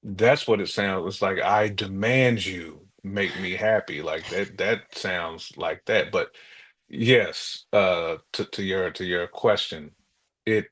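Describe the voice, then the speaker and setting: An American man co-hosting a podcast in casual conversation, telling things in an animated, colloquial way with imagined quotes. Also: sits low, slow pace, slightly rough. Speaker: podcast co-host.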